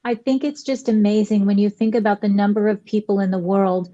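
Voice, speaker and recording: A woman's voice: medium pitch, steady tone, a little fast, dark tone, dry recording. A woman in her sixties, a veteran teacher, chatting earnestly and graciously as a guest on a video call talk show. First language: English. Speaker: guest educator. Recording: slightly dull dry video call audio.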